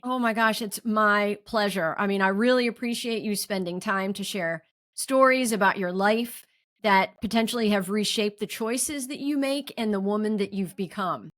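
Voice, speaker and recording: A woman hosting an interview podcast, speaking in a medium-pitched voice. She reads a polished introduction smoothly and then asks her guest open questions. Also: normal speed, a bit bright. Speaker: podcast host.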